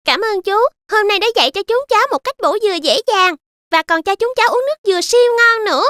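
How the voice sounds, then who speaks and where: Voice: very high-pitched, lively intonation, fast, bright, forceful tone, no echo, studio quality, full character voice. A performer voicing a sweet, curious, polite little child in a children's cartoon dub. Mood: curious, cheerful.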